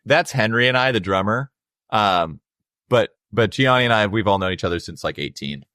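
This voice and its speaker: A young adult man with a low voice, a musician guest talking casually and reflectively in an interview, with many fillers. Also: animated, moderate pace, pushed and bright, no echo, studio quality.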